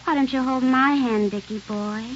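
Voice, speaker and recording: An actress playing a brassy, flirtatious barroom floozy in a 1940s radio drama, with a coaxing, slangy way of talking. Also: female voice, high voice, moderate pace, slight background noise, natural voice. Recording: dull old radio broadcast recording.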